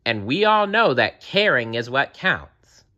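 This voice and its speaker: A man's voice: mid-range voice, big pitch swings, measured pace, sharp tone, highs cut off, pitched above the performer's own voice. A man voicing a kindly, caring bear with an earnest, worried manner in a children's story.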